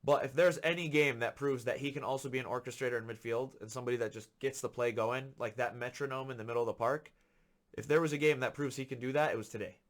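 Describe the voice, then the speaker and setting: A man hosting a football podcast, ranting with frustration and repeating his points for emphasis, a little hoarse from being sick. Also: mid-range voice, fairly even intonation, fast talker. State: slightly hoarse from illness. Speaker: podcast host. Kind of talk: solo football commentary rant.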